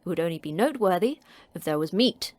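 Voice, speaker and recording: A woman's voice: lowish voice, lively intonation, quick pace, a little mellow, close to an everyday voice. A woman playing a terse, tough female facility manager who talks in blunt, clipped, sardonic lines.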